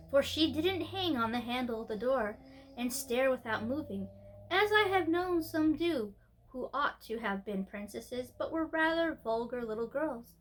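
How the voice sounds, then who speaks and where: Female storyteller, high register, expressive read-aloud style for children's books. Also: slight background noise, poor audio. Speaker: storyteller, host of a storytime show.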